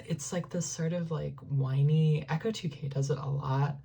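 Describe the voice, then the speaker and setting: A woman's voice: low-pitched, steady tone, moderate pace, creaky, mellow, breathy edge. A woman musician casually explaining how she produces her vocals, rambling with many fillers and apologizing for not making sense. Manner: rambling, self-deprecating, lots of "like" and "um". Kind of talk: casual tutorial.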